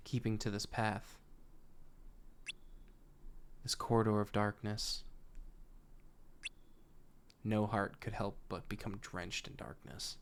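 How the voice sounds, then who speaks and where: A man voicing a brooding young wanderer who narrates his inner darkness in a solemn, measured, introspective way. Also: lowish voice, level tone, a little hiss or hum.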